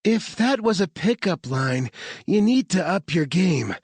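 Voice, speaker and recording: A man voicing a young female hacker who is out of breath and wry, his voice pushed high. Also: sing-song, a little slow, a little mellow, slightly rough, no room sound, full character voice. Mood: breathless.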